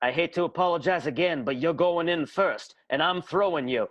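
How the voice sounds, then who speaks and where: A man's voice: on the high side, moderate pace, a bit bright, highs cut off, lightly acted. A man playing a strong, mustachioed but uneasy, apologetic fellow in an improvised radio soap, polite and fussy. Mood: resolute, apologetic.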